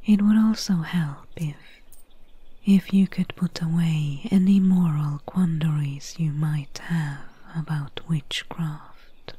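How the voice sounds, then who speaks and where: A woman playing a calm, mercenary swamp witch healer in a soft-spoken, whispery ASMR roleplay, gently wry and soothing, close to the microphone. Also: low-pitched, dry recording, exaggerated voice. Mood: quiet, wry. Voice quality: breathy, whispery. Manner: slow, unhurried, hushed, soothing.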